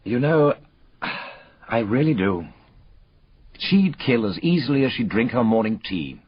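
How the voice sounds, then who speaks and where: An actor playing a troubled, well-mannered gentleman in a radio drama, speaking in a polite, confiding, clipped way. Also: medium pitch, lively intonation, a little slow, slightly rough, no room sound. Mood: gossipy, intense. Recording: dull, roomless lo-fi radio recording.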